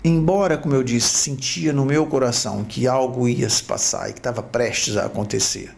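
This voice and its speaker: A middle-aged man from Rio with a Brazilian Portuguese accent, a podcast host telling his life story in a reflective, halting way. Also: medium pitch, vocal fry, a little mellow. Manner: reflective, halting with repeated restarts. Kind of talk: personal storytelling.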